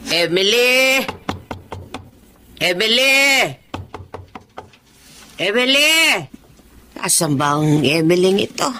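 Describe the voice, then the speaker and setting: A woman's voice: medium pitch, expressive, slow pace, pauses often, noisy background. An actress playing a caring, older mother-in-law and grandmother in a radio drama, fussing and exclaiming.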